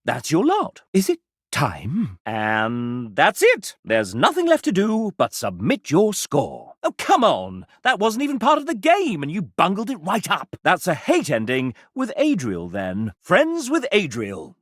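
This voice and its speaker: A man's voice: a little high, wildly swooping pitch, measured pace, dry recording, studio quality, heavily acted. An actor playing a cheeky, theatrical game-show host in a video game, a man with a British accent and flamboyant, arch patter. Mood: wry, then teasing. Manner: flamboyant, arch, grandly enunciated showman patter.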